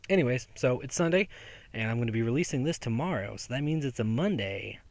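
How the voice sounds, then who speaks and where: A man's voice: mid-range voice, expressive, moderate pace, vocal fry, no room sound. Profane, sarcastic man rambling outdoors. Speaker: podcast host. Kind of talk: rambling casual monologue to camera. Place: outdoors in daylight.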